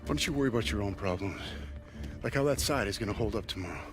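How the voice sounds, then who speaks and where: An actor playing a hard-bitten, sarcastic army sergeant in a World War II game cutscene, gruff and commanding. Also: male voice, lowish voice, animated, quick pace, music in the background, lightly acted. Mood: stern, sardonic.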